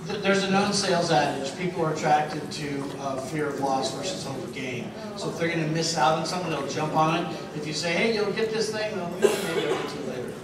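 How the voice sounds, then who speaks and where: A middle-aged man coaches a convention crowd in a confident, persuasive way, his voice a touch higher than most men's. It comes from far back in a big, booming hall with steady noise underneath. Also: brisk, few pauses. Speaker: panel host at a sales convention. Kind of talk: panel discussion. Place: large convention hall stage. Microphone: handheld mic. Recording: echoey hall, recorded from far off, noisy.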